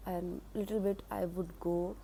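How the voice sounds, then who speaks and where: A woman with an Indian accent, Hindi her first language, giving an unscripted English lesson in a halting way, with many fillers and restarts. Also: fairly low pitch, flat delivery, vocal fry, dark tone, slight background noise. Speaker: English teacher.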